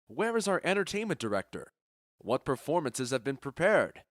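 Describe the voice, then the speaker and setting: A man's voice: fairly high pitch, very animated, moderate pace, no room sound, clean studio sound. A man playing a confident, genial Athenian duke in a modernized Shakespeare audio drama, speaking in a self-assured, declamatory way. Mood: festive, eager.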